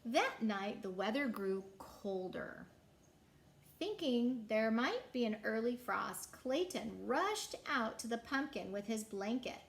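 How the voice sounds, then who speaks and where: A woman reading a picture book aloud as a children's storyteller, with deliberate, dramatic phrasing. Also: fairly high pitch, sing-song, creaky.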